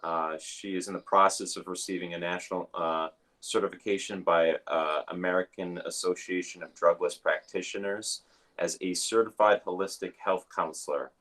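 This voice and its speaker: A minister hosting an online service, giving announcements and introducing a guest in a halting way, with many filler sounds. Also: male voice, deep voice, steady tone, a bit bright.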